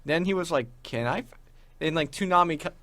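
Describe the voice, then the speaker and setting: A man's voice: on the high side, fairly quick, fairly bright tone, no room sound. A young man co-hosting a podcast in casual chat, rambling with a fan's enthusiasm. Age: young adult. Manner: enthusiastic fan talk, rambling. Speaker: podcast co-host.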